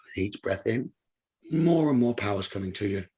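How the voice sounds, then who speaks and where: Male meditation guide, somewhat deep and strongly raspy-breathy, calm instructional delivery in short spaced phrases. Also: normal speed. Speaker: meditation teacher.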